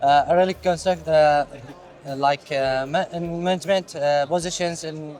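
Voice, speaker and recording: Syrian guy, hesitant answers, high-ish voice, bit of music in the back. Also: steady tone, normal speed, darkish tone. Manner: hesitant, many fillers and restarts, non-native English. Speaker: student. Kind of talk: casual interview answers.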